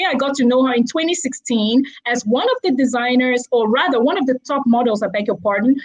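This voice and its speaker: A woman's voice: on the high side, low-quality recording. A woman hosting a live talk show in an enthusiastic, effusive way, addressing the audience as she goes. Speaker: host.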